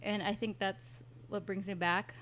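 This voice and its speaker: A woman from the San Francisco Bay Area with a Californian accent and an average-pitched voice. She tells personal stories in a chatty, casual way, with quoted dialogue dropped in. There is faint ambient noise behind her. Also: little pitch movement, a little slow, a bit bright. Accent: Californian American. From San Francisco Bay Area, United States. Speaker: interview guest, kitchen manager. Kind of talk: casual conversational storytelling.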